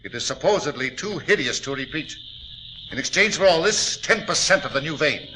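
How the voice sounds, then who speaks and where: An actor playing a cold, scheming murderous miner with deliberate, smooth menace in 1940s radio style. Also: male voice, on the high side, lively intonation, bright, forceful tone, a little hiss or hum, rough recording. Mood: coldly dictating. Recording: vintage 1940s radio recording.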